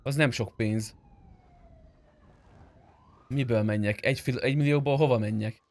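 A man's voice: medium pitch, darkish tone. A man streaming a roleplay game, talking casually and argumentatively in character, with some swearing.

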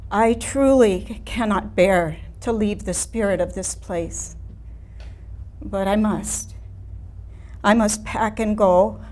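A woman's voice: mid-range voice, a little mellow, close to an everyday voice. A woman playing a wistful, ailing artist in her late seventies in a theatrical staged reading. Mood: wistful, sorrowful. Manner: slow, deliberate, poetic delivery with pauses. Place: room of a house, seated before an audience.

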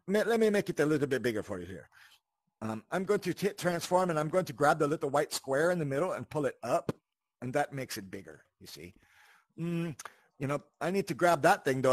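Male tutorial host, high voice, lively intonation, loose rambling explanations with repeated words and check-in questions. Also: no echo. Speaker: host of a video game tutorial channel. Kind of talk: casual spoken tutorial with asides.

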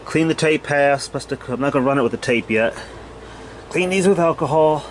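A man's voice: a little high, brisk, slight background noise. A man giving casual, off-the-cuff repair commentary in a plain-spoken, thinking-aloud way, recorded on a handheld camera in a cluttered workshop. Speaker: hobbyist repairer narrating own video.

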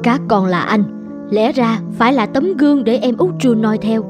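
A woman playing a caring, gently admonishing mother of young boys in a cartoon. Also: on the high side, moderate pace, lightly acted. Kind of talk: cartoon voice acting. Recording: music under the dialogue.

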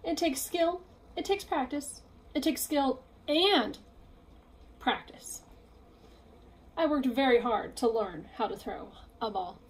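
Storybook elephant friend read by a woman: earnest, deliberate, a bit stern, unhurried delivery. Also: high voice, a little hiss or hum. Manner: deliberate, emphatic. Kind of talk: children's picture-book read-aloud.

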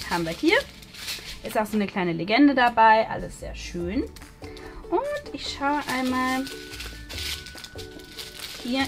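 A woman chatting casually as she hosts a craft demonstration, thinking aloud while she works. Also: on the high side. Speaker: host of a craft haul video. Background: music.

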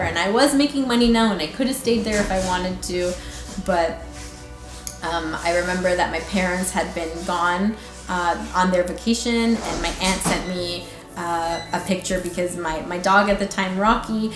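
Lower-pitched woman telling her story, roomy sound. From Canada. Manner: informal and halting, peppered with "like" and "you know". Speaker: guest giving a personal testimony. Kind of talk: casual personal storytelling. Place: indoor room with fireplace, seated in armchair. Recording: roomy echo with faint background noise.

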